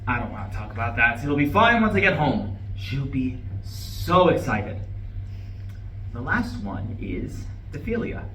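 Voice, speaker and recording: Man acting a nervous rambling husband, animated. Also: mid-range voice, measured pace. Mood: anxious, hopeful. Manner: rambling, hesitant, self-correcting. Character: nervous, rambling, self-justifying husband riding home with flowers. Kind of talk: live play reading, dramatic monologue. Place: room at a live play reading. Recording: echoey distant live room recording, faint noise.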